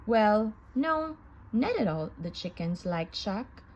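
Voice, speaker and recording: A woman reading a children's story aloud in an expressive, sing-song manner. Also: mid-range voice, slow, dull audio. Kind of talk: children's read-aloud narration.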